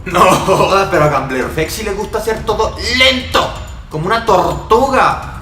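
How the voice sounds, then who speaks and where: Slangy male commentator, mocking and brash, fairly high voice, roomy echo. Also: fairly quick, bright, forceful tone, soft background music. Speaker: channel host commenting on the clip. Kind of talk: voice-over commentary.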